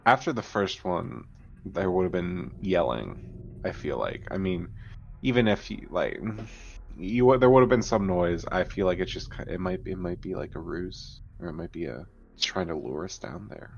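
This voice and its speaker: Deep, gravelly man, slightly muffled. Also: expressive, moderate pace. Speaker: tabletop game player. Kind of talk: casual in-game talk. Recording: dull audio with faint background noise.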